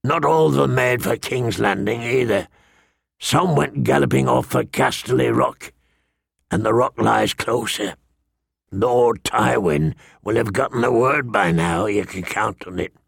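A gruff old man of a northern watch for an audiobook. His voice is deeply creaky and husky, and he speaks slowly, with blunt, rustic deference. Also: no room sound, clean studio sound, exaggerated voice. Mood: grim. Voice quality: gravelly, husky. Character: gruff stooped old man of the Night's Watch, rough but respectful. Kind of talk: audiobook character dialogue.

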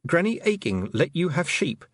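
A man voicing an earnest, sharp-witted young girl who is a witch. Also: a little high, big pitch swings, moderate pace, dry recording, clean studio sound, voice pushed up. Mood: demanding.

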